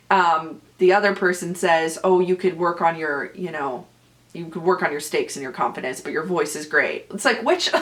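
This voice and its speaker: Young woman host, boomy loud voice, lowish pitch, animated casual chat. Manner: chatty, animated, laughs often. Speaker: podcast host; actor. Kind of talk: casual solo podcast chat.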